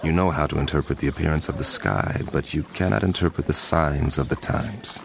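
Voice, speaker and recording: A man's voice: extremely low pitch, fairly quick, gravelly, a crowd in the background. An actor playing a grave, commanding male teacher in a dramatized scripture recording, speaking with solemn, emphatic delivery. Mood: stern. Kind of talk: dramatized scripture audio acting.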